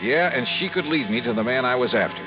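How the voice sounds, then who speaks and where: A man's voice: mid-range voice, animated, close to an everyday voice. An actor playing a wry, hard-boiled male investigator narrating his own case in a 1950s radio drama. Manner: wry, clipped, fast-talking, hesitant when flirting. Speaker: narrator and lead. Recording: dull lo-fi old radio recording with music.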